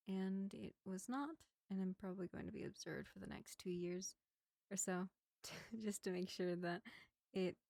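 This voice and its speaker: A woman gives a casual outro to followers in a soft-spoken, slightly hesitant way. Her voice is mellow and sits at an average pitch. The sound is very dry and close. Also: no echo. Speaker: audio roleplay creator.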